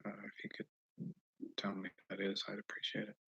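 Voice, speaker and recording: An American man chatting casually and haltingly on a livestream, often restarting his sentences as he thinks aloud. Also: lowish voice, very animated, gravelly, sharp tone, breathy edge, dull audio. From Northeast United States, mostly Massachusetts. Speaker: livestream host answering viewer comments. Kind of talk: casual rambling livestream chat.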